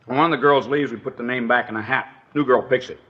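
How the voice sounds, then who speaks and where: A man's voice: mid-range voice, fairly even intonation, fast, a bit bright, close to an everyday voice. Rambling, folksy dance hall boss played by a man. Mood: offhand. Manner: rambling, folksy, ungrammatical slang. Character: chatty, nervous, working-class male dance hall proprietor. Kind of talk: 1950s radio drama acting, naturalistic. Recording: dull old radio recording.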